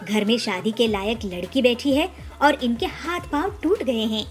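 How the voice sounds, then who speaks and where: A woman's voice: a little high, sing-song, moderate pace. A woman voicing an anxious, loving mother bird in a children's cartoon story. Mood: distressed, worried.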